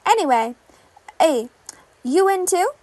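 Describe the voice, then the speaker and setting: A woman's voice: very high voice, big pitch swings, slow, mellow, dry recording, voice pushed up, full character voice, low-quality recording. A woman voicing a brash, mischievous teenage girl hero trainee in a story reading. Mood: determined, breezy. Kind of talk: podfic reading of group-chat messages.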